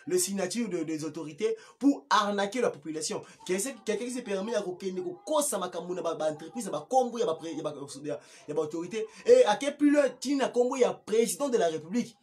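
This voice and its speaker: A man's voice: high voice, expressive, brisk, hardly any pauses, a little mellow. A man with a Congolese accent giving impromptu political commentary in an emphatic, repetitive way, often stumbling and restarting. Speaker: political party activist and commentator.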